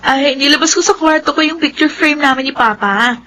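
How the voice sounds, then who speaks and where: A woman's voice: sits high, fairly quick, pushed and bright. An actress playing a loving, loyal young daughter in a radio drama, speaking tenderly and earnestly to comfort her mother. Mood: gentle.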